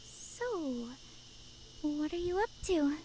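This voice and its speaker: A meek schoolgirl for a visual novel, pitched very high. She speaks slowly and haltingly, eager to please, with faint noise behind her. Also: sing-song, dark tone, heavily acted, low-quality recording. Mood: awkward, hesitant.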